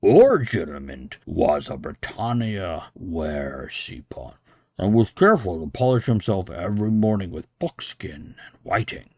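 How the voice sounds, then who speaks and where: A man voicing a fat little gentleman from a storybook, telling an anecdote in a measured, wry way. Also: low-pitched, animated, no echo, studio quality, full character voice. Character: fat little gentleman, chatty dinner-table anecdote teller. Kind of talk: storybook character voice.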